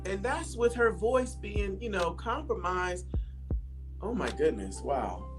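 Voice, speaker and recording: A woman hosting a casual conversation, talking in a lively, encouraging and admiring way. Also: medium pitch, slow. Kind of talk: casual chat. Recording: music playing in background.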